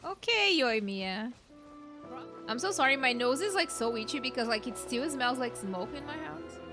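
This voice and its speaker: A woman's voice: high-pitched, expressive, normal speed, over music. A woman giving casual live commentary as she streams a game, with informal, slangy reactions.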